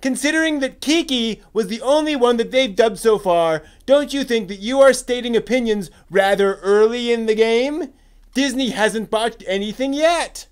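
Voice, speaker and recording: An indignant anime fan acted out by a man argues back in a very high, loud voice. The delivery is emphatic and scolding, with clear, ringing tone, like a staged reading of an angry forum post. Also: animated, moderate pace. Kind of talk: dramatic reading of online posts.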